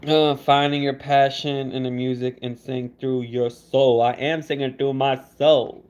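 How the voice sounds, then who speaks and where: A man's voice: medium pitch, little pitch movement, measured pace, darkish tone, clear, resonant tone, muffled recording. Laid-back man chatting over phone audio. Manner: laid-back, teasing, laughs often, answers the chat. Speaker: livestreamer. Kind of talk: casual livestream chat reading viewer comments.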